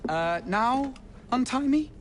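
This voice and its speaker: An actor playing an unflappable rogue and former carnival performer with a working-class English accent, cheeky, flippant and playfully courteous in a game cutscene. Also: male voice, very high voice, lively intonation, slow, full character voice. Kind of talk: video game cutscene acting. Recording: clear background noise.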